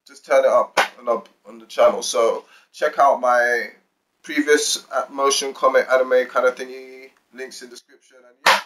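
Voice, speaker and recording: A man's voice: mid-range voice, steady tone, normal speed, a bit bright, slight background noise. A man hosting a gaming channel, giving commentary to viewers in slangy, hip-hop flavoured phrasing. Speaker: YouTube gaming channel host. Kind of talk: video commentary to viewers, part hype greeting, part explainer.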